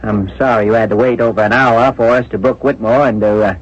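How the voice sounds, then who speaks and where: An actor playing a grave, considerate police inspector in a 1970s radio drama, speaking in a measured, deliberate, official way. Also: male voice, mid-range voice, fairly even intonation, lightly acted. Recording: muffled vintage radio broadcast.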